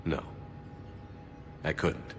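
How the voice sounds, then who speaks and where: An actor playing a steady, resolute male military commander in a video game, speaking calmly and plainly. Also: drawn-out words, over music. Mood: serious. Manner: calm, plain, serious. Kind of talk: video game cutscene acting. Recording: long hall reverb, faint low rumble.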